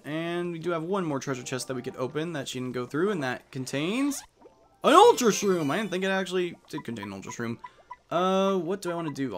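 A man giving casual let's play commentary on a video game, with jokey, playful asides. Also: fairly high pitch.

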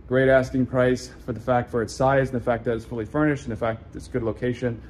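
A man's voice: medium pitch, fairly quick, background noise. A young man giving a casual, chatty walkthrough of an apartment, filmed on a handheld camera at arm's length indoors. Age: young adult. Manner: relaxed, chatty, loose phrasing. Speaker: vlogger touring a condo. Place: indoor apartment and building walkways.

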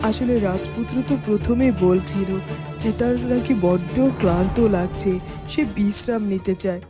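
A woman's voice: mid-range voice, moderate pace, over music, close to an everyday voice. A woman playing a kindly royal aunt in a fairy-tale cartoon, coaxing and reassuring as she explains things.

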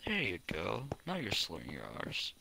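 A man playing along in a game, chatting low-key over a voice call. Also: huge pitch swings, moderate pace, vocal fry, sharp tone. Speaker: co-player. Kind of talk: casual gameplay chat.

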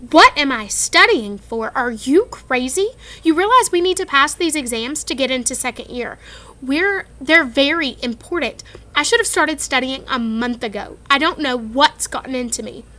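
A bossy, studious schoolgirl frets about exams in snappish, drawn-out lines, as a woman reading a storybook puts her on. The voice is high and bright, pressing hard on each word. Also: lively intonation.

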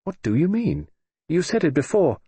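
A husky-voiced man playing an anxious young woman who asks urgent questions. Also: deep voice, big pitch swings, moderate pace, warm tone, no echo, voice pushed up, heavily acted.

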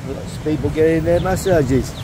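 Casting: older man, offhand chatty vlogger, voice a touch high, loud street traffic behind. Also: normal speed. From England. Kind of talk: casual walking vlog narration. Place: outdoors on a busy city street. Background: traffic noise.

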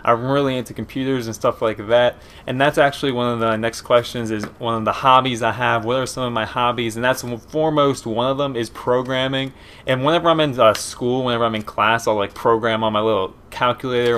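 A man's voice: medium pitch, fairly bright tone, clean tone. Easygoing young man with a Pennsylvania accent. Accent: Philadelphia and Eastern Pennsylvania. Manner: rambling, easygoing, many fillers. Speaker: gaming commentator. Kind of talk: casual Q and A over gameplay. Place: small room at home, indoors.

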